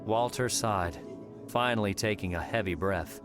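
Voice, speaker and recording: Male audiobook narrator, lowish pitch, scripted storytelling over faint music. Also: measured pace.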